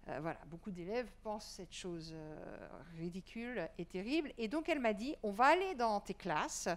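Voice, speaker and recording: Woman, writer-teacher, earnest, fluent, frequent fillers, panel guest. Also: fairly high pitch. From Iran. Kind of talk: panel discussion answers.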